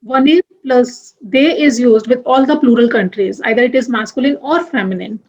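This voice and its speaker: A young woman teaching French grammar, moving deliberately through examples and spelling out letters one by one. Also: a little high, a little mellow, muffled recording. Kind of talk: grammar lesson.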